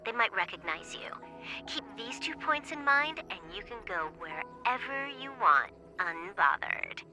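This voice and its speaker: A woman's voice: a little high, very animated, measured pace, bright, forceful tone, heavily acted. A woman voicing a smug, teasing young female hacker in a sci-fi video game, with a breezy, knowing manner. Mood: breezy, assured. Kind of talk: scripted video game voice acting. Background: game music.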